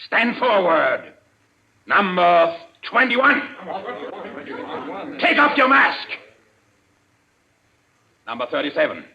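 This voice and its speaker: Menacing, gravelly crime boss. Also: male voice, high-pitched, very animated, sharp tone, exaggerated voice. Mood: harsh, commanding. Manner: formal, deliberate, domineering. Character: menacing, commanding male leader of a secret criminal society. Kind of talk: old radio thriller acting. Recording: dull, lo-fi radio drama recording.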